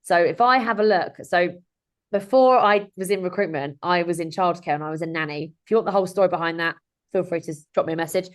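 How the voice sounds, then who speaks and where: A woman's voice: fairly low pitch, quick pace. A woman in her thirties talking informally as a webinar host on a video call, chatty and rambling with frequent self-corrections. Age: 30s. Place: indoor room at home or office, on a video call. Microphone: video call microphone.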